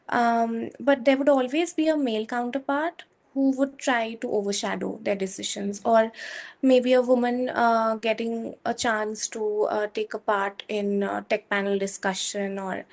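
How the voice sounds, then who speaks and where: Soft-voiced young woman, measured and hesitant. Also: a little high. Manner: measured, hesitant with many fillers. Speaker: guest, software engineer. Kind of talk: interview answers.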